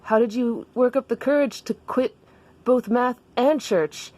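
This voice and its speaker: A woman's voice: fairly high pitch, measured pace, dark tone, pitched above the performer's own voice. A woman voicing a timid, earnest schoolboy in a comic story, hesitant and wide-eyed. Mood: wistful, awed. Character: timid, earnest, church-bound boy, school age.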